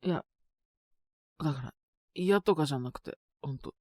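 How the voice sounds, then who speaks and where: A man playing a sick, stubborn but shy teenage boy in an intimate Japanese audio drama, speaking in a halting, casual, congested mumble. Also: on the high side, no echo, clean studio sound, close to an everyday voice. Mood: bashful, reassuring. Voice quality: congested cold-sick voice with coughs.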